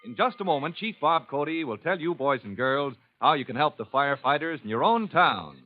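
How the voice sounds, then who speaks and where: A man with a slightly high voice delivers dramatic, emphatic radio-drama announcements. The sound is dull and lo-fi, like an old broadcast. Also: expressive, fairly quick, faint music behind.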